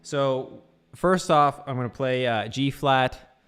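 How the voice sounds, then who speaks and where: A man's voice: mid-range voice, slow, a bit bright, smooth. A man teaching guitar on a casual livestream, talking loosely with lots of fillers. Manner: loose, rambling, full of fillers.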